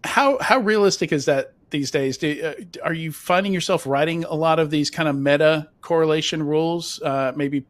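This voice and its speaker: A man's voice: fairly high pitch, normal speed, hardly any pauses, no room sound. A man moderating a webcast panel over a video call, asking rambling questions full of fillers.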